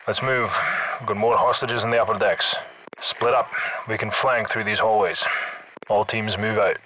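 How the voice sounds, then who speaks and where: Casting: commanding Scottish special forces soldier for a shooter game, forceful clipped delivery, faint noise behind. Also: male voice, lowish voice, steady tone, moderate pace, low-quality recording. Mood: brisk, commanding. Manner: clipped, urgent orders. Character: commanding male special forces soldier, terse, battle-hardened squad leader. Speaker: squad leader in a military shooter game. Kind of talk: video game battle chatter, military radio commands.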